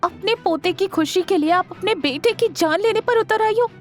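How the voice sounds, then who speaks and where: A woman playing a fiery, defiant young wife in an audio drama, with indignant, forceful delivery. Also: very high-pitched, normal speed, faint music behind. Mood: outraged. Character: fiery, defiant young wife defending her husband and father. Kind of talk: Hindi audio drama acting.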